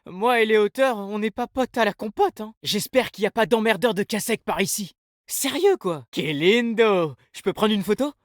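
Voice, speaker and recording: Laid-back young game hero. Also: male voice, high-pitched, expressive, moderate pace, no echo, studio quality. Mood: playful, friendly. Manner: casual, slangy, upbeat. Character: laid-back young male agent with creature pals. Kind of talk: video game voice lines.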